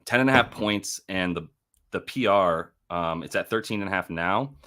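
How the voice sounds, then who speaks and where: Young guy w a deep voice hosting a betting stream, rambly, keeps correcting himself. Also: normal speed, bright, forceful tone. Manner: rambling, self-correcting, thinking aloud over numbers. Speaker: sports betting show host. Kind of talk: casual livestream chat.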